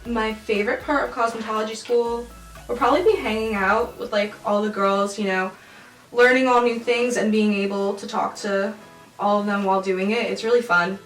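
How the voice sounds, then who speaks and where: An eighteen-year-old woman talking casually in an interview, relaxed and full of "like". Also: mid-range voice, normal speed. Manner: relaxed, uses lots of "like". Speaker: cosmetology student. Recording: roomy echo, distant mic, faint music.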